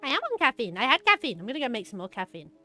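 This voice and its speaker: A hyper, bubbly woman with a high-pitched voice, chatting casually while streaming a game. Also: sing-song, rapid-fire, sharp tone, faint music behind. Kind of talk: casual gaming stream chat.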